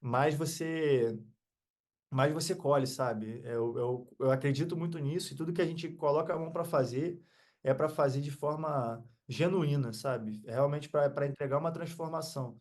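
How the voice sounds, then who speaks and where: A man's voice: on the high side, moderate pace, a little mellow. A Brazilian man talking as a podcast guest in a casual, heartfelt motivational chat, earnest and full of slang.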